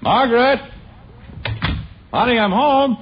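A man's voice: very high-pitched, slow, background noise. An actor playing a genial, mildly exasperated American husband and father in a 1950s radio sitcom. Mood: cheerful, teasing. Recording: muffled old radio broadcast.

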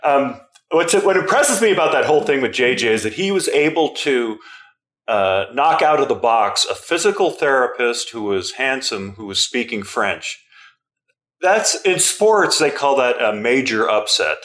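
A man's voice: mid-range voice, big pitch swings, moderate pace, fairly bright tone, echoey room. A man hosting a casual interview and asking playful, joking questions. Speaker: interview host.

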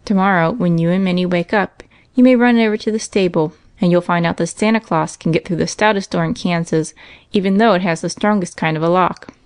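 A woman's voice: on the low side, brisk, clear, resonant tone, dry recording, clean studio sound, natural voice. A woman voicing a kindly, reassuring family father in a storybook reading. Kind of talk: storybook character voice.

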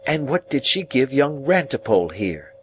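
Man acting scolding haughty woman, raised pitch, curt and snappish, storybook character, faint noise. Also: big pitch swings, moderate pace, a bit bright. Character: sharp, scolding, haughty older sister, adult woman. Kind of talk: audiobook character voice.

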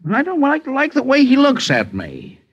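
A man's voice: very high-pitched, huge pitch swings, normal speed, heavily acted. A man playing a timid, greedy, henpecked husband who speaks hesitantly in folksy American English in a 1950s radio drama. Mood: nervous. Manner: hesitant, stammering, folksy ungrammatical speech. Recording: muffled old radio recording.

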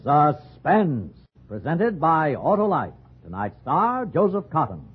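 A man's voice: fairly high pitch, wildly swooping pitch, slow pace, loud music behind the voice. An American man announcing a 1950s radio drama in a formal, dramatic manner. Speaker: program announcer. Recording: muffled old radio recording.

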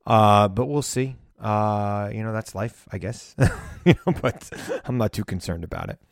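A man's voice: lowish voice, moderate pace, vocal fry, dry recording, studio quality. A man hosting his own show, talking casually and bluntly with frequent laughs while losing his voice. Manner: opinionated, rambling, laughs often. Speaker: podcast and radio host. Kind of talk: casual monologue.

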